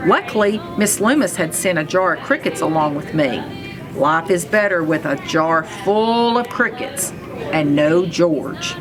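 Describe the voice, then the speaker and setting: A woman reading a children's storybook aloud in an expressive, animated storytelling manner, telling it as a first-person narrator. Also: on the low side, measured pace, over music. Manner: animated storytelling with acted sound effects.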